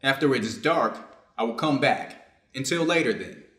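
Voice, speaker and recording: A man's voice: mid-range voice, expressive, normal speed, a bit bright, close to an everyday voice. A man playing a reserved, conflicted Japanese doctor in a staged reader's theater drama, formal and guarded in his phrasing. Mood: resolved. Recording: roomy, echoing space.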